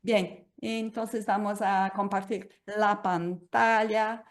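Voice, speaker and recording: Woman, webinar moderator on a video call, measured and unhurried. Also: mid-range voice, little pitch movement. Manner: measured, explanatory, many "entonces".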